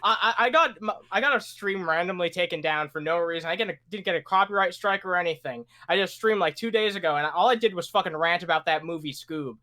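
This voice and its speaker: A man with a bright, sharp voice vents on a podcast, swearing freely and piling up restarts. He talks in quick, heated bursts. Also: high voice. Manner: profane, ranting, fast bursts. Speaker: guest. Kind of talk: casual podcast chat.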